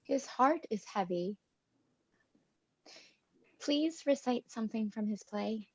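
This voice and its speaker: A woman's voice: fairly high pitch, animated, slow, a little mellow, husky, no room sound, heavily acted. A woman playing a gloomy, lovesick young woman in a play reading over a video call. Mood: yearning. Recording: slightly dull, dry video-call audio.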